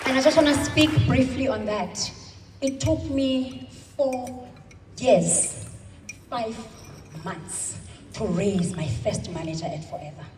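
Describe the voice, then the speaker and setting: South African woman, thirties, emphatic motivational speaker, slow dramatic pauses, distant from the mic, long hall reverb. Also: fairly high pitch, noisy background. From South Africa, Eastern Cape. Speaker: convention speaker. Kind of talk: motivational speech. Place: large hall.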